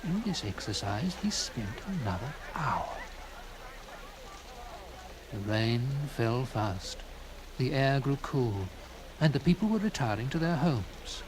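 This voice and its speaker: Guy narrating an old gothic story, medium pitch, really dramatic w/ pauses, noisy ambience behind him. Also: big pitch swings. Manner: theatrical, deliberate phrasing with dramatic pauses.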